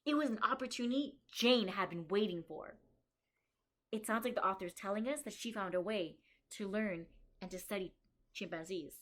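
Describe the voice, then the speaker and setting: A kindergarten teacher, a woman, reading a picture book aloud to young children and stopping to think aloud and explain what the author and illustrator show. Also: mid-range voice. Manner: slows down and stresses key story lines.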